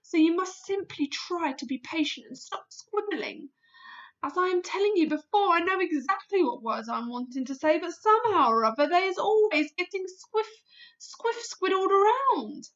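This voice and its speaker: A woman voicing a big, friendly, very old male giant who jumbles his words into made-up ones, in a booming storybook voice. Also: lively intonation, moderate pace, a bit bright. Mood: wistful. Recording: dull lo-fi recording.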